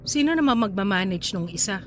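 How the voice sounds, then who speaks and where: A woman's voice: mid-range voice, animated, brisk, soft background music, close to an everyday voice. A Filipino woman acting a guarded, evasive partner with a secret, in radio drama dialogue. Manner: hesitant, deflecting.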